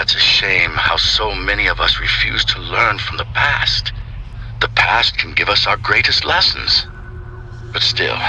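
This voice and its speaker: A man playing a solemn, brooding, self-assured studio owner in a video game audio log, measured and theatrical. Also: sits low, noisy background.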